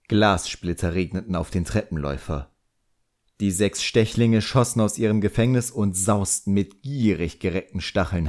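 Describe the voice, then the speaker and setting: Male audiobook narrator, low register, for scripted fantasy storytelling. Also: darkish tone.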